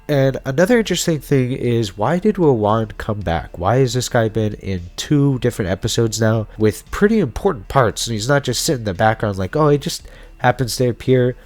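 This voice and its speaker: A man's voice: lowish voice, a little mellow. A man giving casual explainer commentary as a video host, talking through theories in an informal, speculative way. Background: background music.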